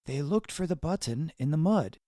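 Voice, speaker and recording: A man reading a children's story aloud as narrator, deliberately and expressively, phrased for young listeners. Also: a little high, expressive, mellow, no room sound, clean studio sound.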